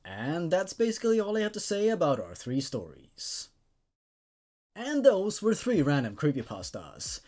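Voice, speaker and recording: A man hosting a casual online story review, talking to viewers in a halting, stammering way with repeated words. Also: a little high, huge pitch swings, a little mellow. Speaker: host of a horror story review show.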